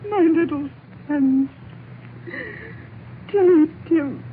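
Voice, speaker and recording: High-voiced, grieving gentle mother. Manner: tender, sorrowful, drawn-out. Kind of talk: 1930s radio drama acting. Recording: tinny hissy 1930s radio recording.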